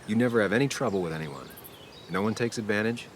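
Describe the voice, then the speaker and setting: An actor playing a dogged, reserved ex-reporter investigator in a video game, speaking in terse, understated lines. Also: male voice, on the low side, lively intonation, fast talker, a little hiss or hum, close to an everyday voice. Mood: guarded, probing. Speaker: player-character investigator, former reporter. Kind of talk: video game dialogue and inner monologue.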